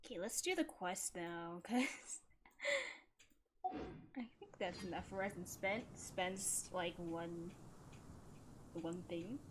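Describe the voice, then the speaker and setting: A woman's voice: lowish voice, expressive, slow, pauses often, noisy background. A woman live streaming a video game, chatting casually to viewers and muttering asides to herself as she plays. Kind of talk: casual chat while gaming. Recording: loud game music behind the voice. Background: video game music and sounds.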